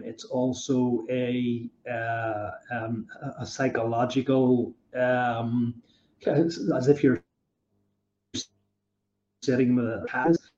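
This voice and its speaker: Mellow-voiced man, dull lo-fi sound. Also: medium pitch. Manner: enthusiastic, lists things slowly with emphasis. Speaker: podcast host. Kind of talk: casual interview talk.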